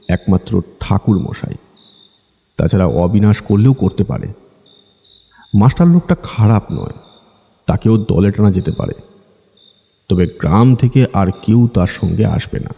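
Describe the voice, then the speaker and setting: A male audiobook narrator reads a scripted ghost story. His voice is deep and extremely mellow, carried in a long reverb. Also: animated, measured pace, creaky, pauses often.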